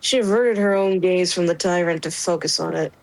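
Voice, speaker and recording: A woman's voice: on the low side, fairly quick, gravelly, no room sound, rough recording. A woman reading fiction aloud and chatting casually as part of a group dramatic reading. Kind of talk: story narration and casual chat.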